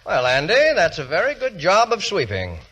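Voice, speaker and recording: A man's voice: a little high, huge pitch swings, moderate pace. A man acting a genial, approving shop boss with polite, encouraging speech in 1940s radio comedy. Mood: pleased. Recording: 1940s radio broadcast recording.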